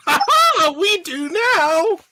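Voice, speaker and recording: A woman playing an excitable starship officer in an amateur radio play, with theatrical exclamations. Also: very high voice, takes it slowly, very noisy, full character voice. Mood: triumphant. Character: excitable starship officer working the computer consoles.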